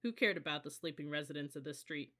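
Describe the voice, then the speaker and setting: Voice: mid-range voice, fast, bright, forceful tone. An audiobook reader giving a scripted first-person narration of a story.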